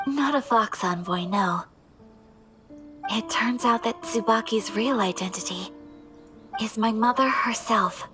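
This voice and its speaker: A woman playing a poised, gentle young noblewoman in an anime video game, speaking softly in a formal, measured, polite way. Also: on the high side, music in the background, lightly acted. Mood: earnest.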